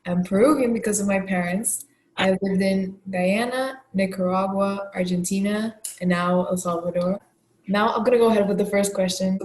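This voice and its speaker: A woman's voice: mid-range voice, a little mellow, echoey room, rough recording. A woman hosting a casual chat among friends, talking loosely with lots of fillers and frequent laughs. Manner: chatty, laughs often, many "like" fillers. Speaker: host.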